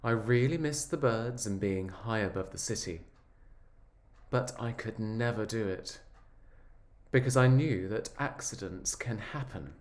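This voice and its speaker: A man voicing an earnest, wistful egg who tells his own story in a measured, reflective picture-book narration. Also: lowish voice, close to an everyday voice.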